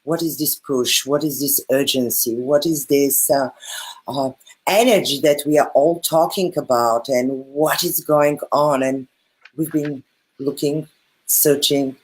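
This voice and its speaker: A woman's voice: extremely low pitch, steady tone, measured pace, breathy edge, poor audio. A woman from Bulgaria with a Bulgarian accent, speaking earnestly over a video call as a guest, with repeated phrases and fillers. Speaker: guest, spiritual teacher. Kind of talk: casual video call chat.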